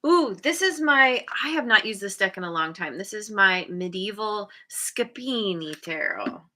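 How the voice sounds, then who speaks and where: A woman reads tarot cards in a slow, meandering way, stopping often to search for words. Her voice is bright and forward. She is chatty and laughs at herself from time to time. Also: medium pitch, animated. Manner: hesitant, many fillers and restarts. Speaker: tarot reader. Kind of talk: casual tarot reading.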